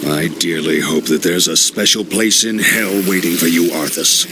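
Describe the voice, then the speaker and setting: Grave, deep-voiced righteous paladin. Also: male voice, moderate pace, creaky, background noise. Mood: bitter. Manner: grave, scornful. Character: righteous, bitterly indignant veteran male paladin. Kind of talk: video game dramatic acting.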